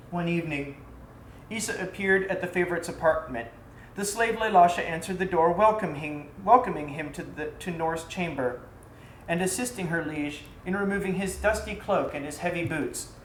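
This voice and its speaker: A woman's voice: fairly low pitch, moderate pace. Woman storyteller in an echoey room. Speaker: storyteller reading aloud. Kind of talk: scripted narration of an exotic tale. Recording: roomy echo, faint background noise.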